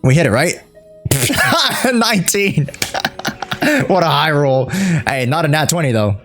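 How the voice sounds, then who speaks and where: A woman's voice: deep voice, animated, slow, fairly bright tone. A woman streaming a game, giving casual live reactions and murmuring short asides as she follows along. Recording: faint game music behind the voice.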